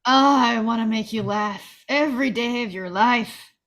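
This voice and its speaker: A woman's voice: a little high, normal speed, fairly bright tone, poor audio. A performer, heard as a woman, playing earnest, eager men in a scripted comedy table read.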